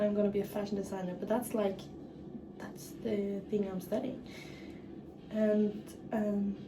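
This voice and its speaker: A young woman from northern Norway with a Norwegian accent, chatting casually in English while doing her hair, halting and full of fillers. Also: medium pitch. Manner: halting, frequent fillers and restarts. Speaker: vlogger. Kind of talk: casual get-ready-with-me chat. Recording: echoey room, mic far off, clear background noise.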